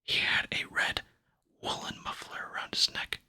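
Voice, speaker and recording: A man whispering an ASMR reading of a children's fantasy novel, gentle and unhurried, with pauses between phrases, close to the microphone. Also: dry recording. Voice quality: breathy unvoiced whisper. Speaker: ASMR book reader.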